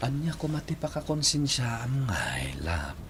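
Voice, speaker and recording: An actor playing an excitable young man in a radio drama, halting and exclaiming, with an Ilocano accent. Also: medium pitch, slight background noise. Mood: tender. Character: excitable young man shocked by his lover's confession. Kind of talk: Filipino radio drama acting.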